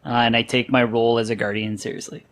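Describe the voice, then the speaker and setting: A man's voice: mid-range voice, flat delivery, fast, fairly bright tone. A man chatting casually and jokingly as a player on a tabletop role-playing podcast, often poking fun at himself. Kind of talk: casual chat describing a game character.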